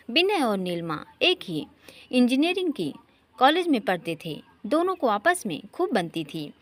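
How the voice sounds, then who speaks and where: A woman reading a scripted, emotional love story as a narrator, now and then stumbling over a word. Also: a little high.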